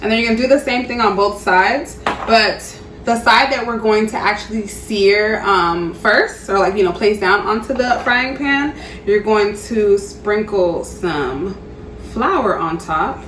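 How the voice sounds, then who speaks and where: A woman's voice: mid-range voice, a little slow, noisy background. A woman hosting a cooking show, narrating a recipe in a chatty, upbeat way with casual slang and frequent laughs.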